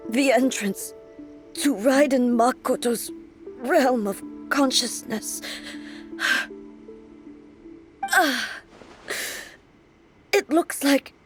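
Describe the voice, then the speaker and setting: Solemn, regal warrior goddess. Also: female voice, high-pitched, music in the background. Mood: grave. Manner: formal, grave, measured. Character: solemn, regal immortal warrior goddess and her puppet double. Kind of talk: video game voice acting.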